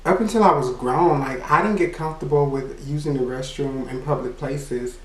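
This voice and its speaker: A man with a high voice telling a personal story in a casual, rambling way, full of "you know" and quick laughs. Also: roomy sound, distant mic, poor audio. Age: adult. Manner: laughs between remarks. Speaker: vlogger.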